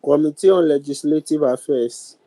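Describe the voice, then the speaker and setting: A man with a Ghanaian accent chairing a youth parliament sitting in a formal, deliberate, halting way on a video call. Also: on the high side, dark tone, no echo. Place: virtual meeting over video call.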